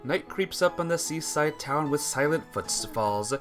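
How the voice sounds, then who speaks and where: A playthrough host reading a visual novel aloud as expressive, dramatic scripted narration. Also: mid-range voice, a little slow, soft background music. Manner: dramatic reading, acts out dialogue.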